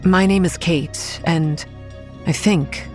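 A young woman playing a dazed, haunted campground manager, narrating in the first person in a horror audio drama in a measured, introspective way. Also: fairly low pitch, fairly even intonation, a little mellow, soft background music. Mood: dazed, uneasy. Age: young adult.

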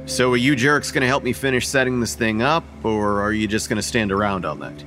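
A practical, bossy young college man played by an actor in a horror audio drama. He is low-pitched and directive with a sardonic edge, over prominent music. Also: a little fast, lightly acted. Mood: impatient. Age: young adult. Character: bossy, practical male college student organizing a ritual. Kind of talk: radio horror drama acting.

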